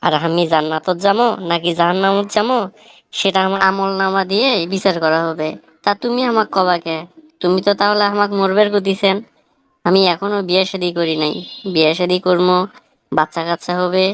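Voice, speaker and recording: A woman's voice: fairly low pitch, clear, resonant tone, no room sound, natural voice. A woman voicing a witty, defiant young village man in a comic cartoon, with a northern Bangladeshi accent and a wry, argumentative manner. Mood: wry, challenging. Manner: argumentative, wry, rhetorical questioning.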